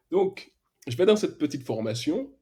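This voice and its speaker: Man, Antillean accent, husky voice, relaxed storytelling, filler-heavy, podcast guest. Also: fairly high pitch. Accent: Antillean French. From Martinique. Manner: relaxed, frequent hesitations, casual slang. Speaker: podcast guest, freelance web developer. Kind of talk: casual storytelling interview.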